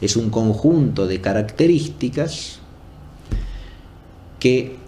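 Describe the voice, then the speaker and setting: A man giving an unscripted academic lecture in a formal, didactic and deliberate way, rephrasing as he goes and often checking in with short tag questions. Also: medium pitch, animated, mellow. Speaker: philosophy lecturer.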